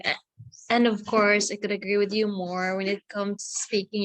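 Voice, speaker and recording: A woman's voice: medium pitch, no room sound, rough recording. A woman in her twenties from the Philippines with a Filipino accent, an English teacher leading a casual online lesson, friendly and encouraging, often ending points with "right?". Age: 20s.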